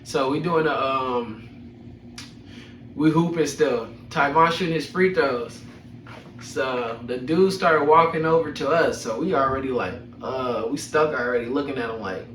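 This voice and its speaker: A man's voice: mid-range voice, a bit bright. A young adult man telling personal stories casually, with slangy, profane phrasing and a chuckling manner. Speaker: storyteller host of a personal podcast. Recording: echoey room, distant mic, faint noise.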